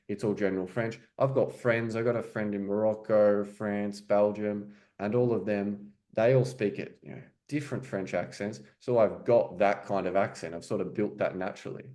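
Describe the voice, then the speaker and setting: A man's voice: mid-range voice, warm tone. A man coaching French, explaining informally and checking in with "right?" and "okay?". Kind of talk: casual teaching commentary.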